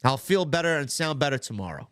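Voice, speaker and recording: A man's voice: mid-range voice, sing-song, fast, fairly bright tone, smooth, no room sound, studio quality. A man from New York hosting a casual watch-along livestream, blunt and profane, sounding stuffy and nasal from congestion. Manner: blunt, swears a lot, restarts often. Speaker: livestream host.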